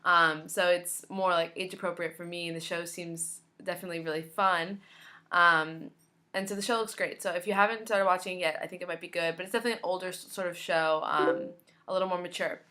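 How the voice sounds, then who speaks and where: A woman in her twenties chatting to camera in a vlog, slightly hoarse from losing her voice, with lots of ums and likes. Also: on the low side, fairly even intonation, brisk, bright, forceful tone. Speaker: vlogger. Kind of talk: casual chat to camera.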